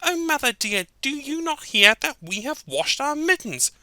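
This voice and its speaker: A nursery-rhyme reader voicing three young kittens who whine and meow in high squeaky voices. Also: sing-song, normal speed, pushed and bright, no room sound, clean studio sound, full character voice. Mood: pleading. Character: three little kittens, young, whiny and meowing.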